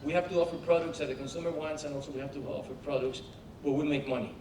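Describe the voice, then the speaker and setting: A man on a conference panel makes a blunt, matter-of-fact point at a quick clip. His voice sits a bit higher than most men's. The sound is echoey and distant, with a faint rumble underneath. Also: fairly even intonation. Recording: echoey hall, distant mic.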